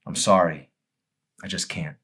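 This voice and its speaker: Man as a low-voiced, brooding teenage boy. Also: steady tone, a little slow, fairly bright tone, husky, exaggerated voice. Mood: withdrawn, apologetic. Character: withdrawn, brooding, apologetic teenage boy Arson.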